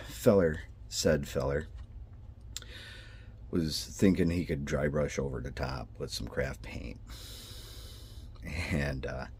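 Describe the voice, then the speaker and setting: A man's voice: rumbling low, darkish tone, slightly rough. A man with a congested, nasal voice and a twang rambles in a hobby vlog about building scale models, breaking off, apologizing and restarting his sentences. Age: adult with a son. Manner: meandering, self-interrupting, apologetic. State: congested from sinus trouble.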